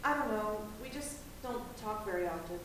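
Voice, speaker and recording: A young woman playing a guarded, anxious grown daughter who talks in halting, hesitant bursts with her mother. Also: medium pitch, expressive, close to an everyday voice. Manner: hesitant, halting, then heated. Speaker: college student. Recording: echoey room, distant mic, noisy ambience.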